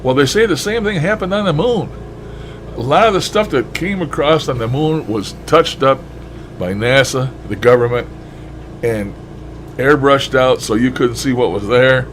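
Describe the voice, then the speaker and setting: A man with a mid-range voice rambles casually to his listeners. His delivery is folksy and blunt, with some mild swearing. A faint steady noise sits behind him. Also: medium pitch, moderate pace. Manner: folksy, blunt, mildly profane. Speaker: shortwave radio hobbyist vlogger.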